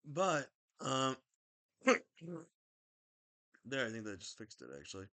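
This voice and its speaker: A young man talking casually about his game team, rambling and correcting himself, with a slightly raspy throat. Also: mid-range voice, takes it slowly, no echo. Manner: rambling, self-correcting, excitable. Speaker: draft league player. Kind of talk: casual video commentary.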